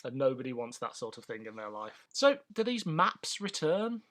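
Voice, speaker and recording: Male co-host for a casual podcast: medium-pitched voice, wry, opinionated, conversational. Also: very animated, normal speed, fairly bright tone. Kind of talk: casual chat.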